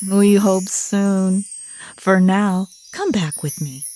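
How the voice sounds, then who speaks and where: Puppet musical role: calm, reassuring woman champion of banned books, played by an actress, unhurried and soft-edged. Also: medium pitch, soft background music, lightly acted. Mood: reassuring, solemn. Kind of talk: stage puppet musical acting.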